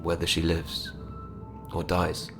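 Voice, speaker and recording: Casting: steadfast sci-fi aide, male, deep register, authoritative and grave, audio drama with a clear music bed. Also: completely flat delivery, slow. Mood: grave, consoling. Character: urgent, steadfast male officer and aide to a fallen queen. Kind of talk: full-cast sci-fi audio drama acting.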